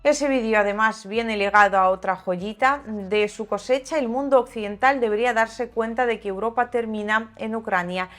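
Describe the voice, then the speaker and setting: A woman's voice: medium pitch, a bit bright. A woman hosting a channel, giving opinionated, sarcastic political commentary in a conversational way, sometimes reading aloud. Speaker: video channel host and commentator. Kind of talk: political commentary to viewers, part read aloud.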